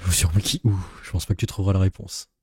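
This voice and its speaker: A man's voice: low-pitched, quick pace, a little mellow, a little hiss or hum. A man giving casual, sardonic, complaining live commentary as a streamer. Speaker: streamer, reaction host.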